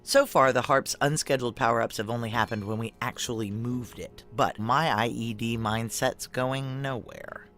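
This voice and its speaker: A woman's voice: very deep voice, steady tone, normal speed, few pauses, fairly bright tone, faint music behind. A woman acting a tough, guarded female security chief in a noir audio drama, terse, wry and confiding. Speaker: lead character and narrator.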